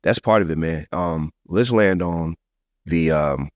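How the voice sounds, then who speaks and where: A man's voice: low-pitched, measured pace, dry recording. A man talking casually as a podcast host, emphatic and slangy, often repeating his phrases for stress. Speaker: podcast host and music artist. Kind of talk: casual conversational podcast talk.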